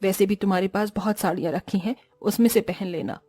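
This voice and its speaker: Woman doing a soft-spoken older mother-in-law. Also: brisk, slightly rough, no echo, faint music behind, natural voice. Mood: dismissive. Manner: low, soft, weary. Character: stingy middle-aged mother-in-law, later remorseful.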